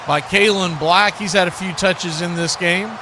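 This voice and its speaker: Male American play-by-play commentator, high voice, folksy and conversational, football livestream with clear background noise. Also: steady tone, moderate pace.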